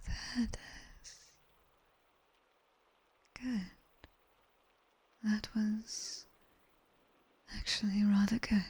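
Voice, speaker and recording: A British woman playing a sharp-tongued, teasing demoness tutor in a soft, whispered ASMR roleplay. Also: mid-range voice, steady tone, slightly rough, poor audio. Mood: teasing, instructing. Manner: slow, hushed, teasing and bossy.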